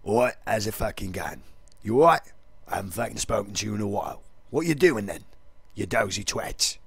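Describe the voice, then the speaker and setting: A man's voice: lowish voice, animated, moderate pace, creaky, slightly rough, dry recording, heavily acted. Man doing a brash, sweary London geezer.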